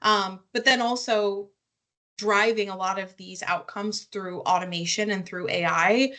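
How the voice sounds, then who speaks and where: A woman speaking as a company panelist, explaining business matters conversationally in halting fragments. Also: medium pitch, steady tone, bright, forceful tone. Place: online webinar call.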